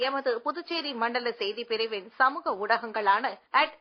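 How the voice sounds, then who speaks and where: A woman's voice: fairly high pitch, measured pace. A woman reads a scripted station announcement as a radio announcer. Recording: dull lo-fi sound over music. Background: music.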